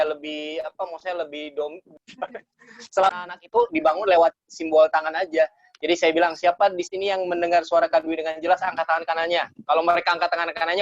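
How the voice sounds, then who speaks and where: A man's voice: fairly high pitch, steady tone, fairly quick, soft background music. A man hosting an online chat in an informal, chatty, joking way over a video call. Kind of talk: casual chat. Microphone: phone on video call. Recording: dull, dry video-call audio.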